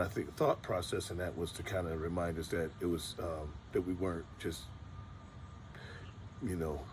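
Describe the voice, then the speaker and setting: A middle-aged man speaks in a very low, deep register. He talks in a relaxed, rambling vlog style, stopping to restart his sentences as he remembers. A faint ambient hum sits behind him. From Detroit, USA. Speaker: vlogger. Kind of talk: casual storytelling monologue.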